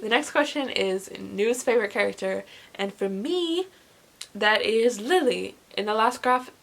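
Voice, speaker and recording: A woman's voice: mid-range voice, lively intonation, measured pace, vocal fry, fairly bright tone. A young woman chatting casually as a vlogger, gushing and emphatic, often repeating words for emphasis. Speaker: book reviewer vlogger. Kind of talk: casual chat to camera.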